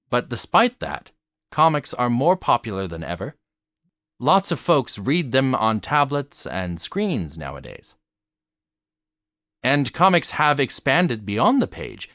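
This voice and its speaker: A man's voice: medium pitch, fairly bright tone, dry recording, studio quality. A man narrating a scripted video essay in an articulate, rhetorical way, speaking directly to the listener. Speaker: video essayist and theatre educator.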